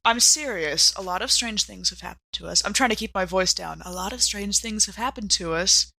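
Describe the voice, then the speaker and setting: Woman, tabletop game player, casual banter, bright sharp voice, dry close sound. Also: mid-range voice, fairly quick, gravelly, dry recording, low-quality recording.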